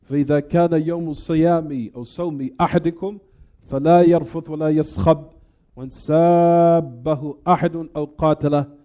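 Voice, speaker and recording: Mellow, higher-voiced man teaching religion. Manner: didactic, repeats phrases, poses questions to listeners. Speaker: religious teacher giving a lecture. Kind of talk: religious lecture, translating and explaining recited Arabic texts.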